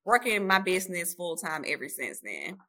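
A woman guest on a podcast, telling her career story in a relaxed, casual way with fillers. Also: on the low side, normal speed. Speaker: podcast guest, nurse writer. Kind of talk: casual interview talk.

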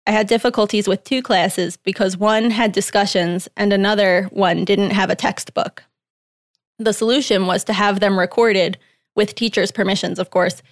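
A woman reading a guest's typed interview answers aloud in a measured, plain way. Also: medium pitch, no room sound, clean studio sound.

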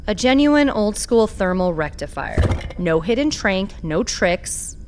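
Slow, swooping, sardonic woman fixer. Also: mid-range voice, background noise. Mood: matter-of-fact, reassuring. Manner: sardonic, unhurried, expressive. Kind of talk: audio fiction acting, noir sci-fi.

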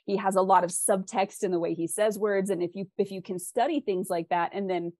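A woman's voice: mid-range voice, steady tone, fairly quick, darkish tone, no echo. A woman speaking conversationally as an interview guest, explaining writing craft articulately with examples. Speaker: interview guest, fiction author.

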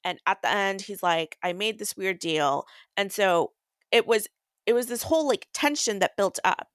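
A woman's voice: medium pitch, normal speed, no echo, studio quality. A woman co-hosting a podcast, chatting casually and explaining her points earnestly at length. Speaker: podcast co-host. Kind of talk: casual chat about books.

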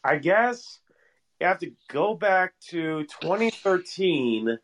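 A man's voice: high-pitched, sing-song, slow pace, a bit bright, slight background noise. A man talking casually as a fan guest on a sports call-in show.

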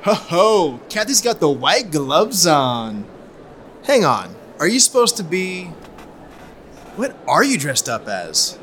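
An actor playing a brash, nosy coin dealer in an audio drama, blurting things out and pushing in. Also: male voice, on the high side, very animated, slow, a bit bright, slight background noise, full character voice. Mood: brash, pushy. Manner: blurting, pushy.

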